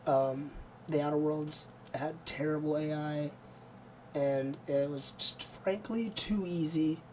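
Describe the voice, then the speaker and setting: A gaming streamer with a hoarse, nearly lost voice, chatting casually and rambling to viewers before playing. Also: mid-range voice, darkish tone, a little hiss or hum, rough recording. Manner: rambling, easygoing, many fillers.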